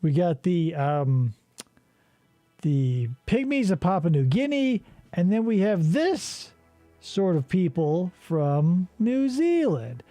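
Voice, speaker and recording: A man's voice: sits high, big pitch swings, takes it slowly, warm tone, dry recording, soft background music. A man hosting a casual comedy game show, rambling and sarcastic with profane asides.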